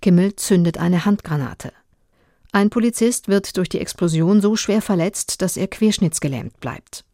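A woman's voice: fairly low pitch, moderate pace, warm tone, no room sound, studio quality. A woman reading a scripted case summary in a sober, factual documentary style.

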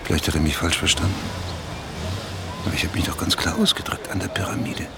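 Deep, sardonic journalist amid traffic. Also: male voice, fast talker. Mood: impatient muttering. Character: sardonic, impatient middle-aged male investigative journalist. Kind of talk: radio drama acting, muttered inner monologue. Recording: clearly noisy street traffic behind. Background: cars and street ambience.

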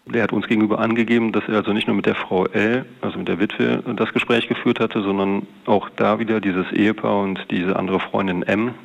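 A man's voice: on the low side, fairly even intonation, fairly quick, creaky, hardly any pauses. A man, a police detective, recounting a case in an interview with formal, official wording and long winding sentences. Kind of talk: interview account with hesitations. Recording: dull, band-limited interview sound.

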